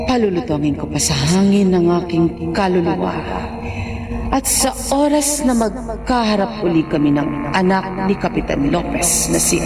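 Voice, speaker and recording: A wicked, haughty sorceress in a radio horror play. Her delivery is theatrical and very animated, with dramatic music behind her. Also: on the low side.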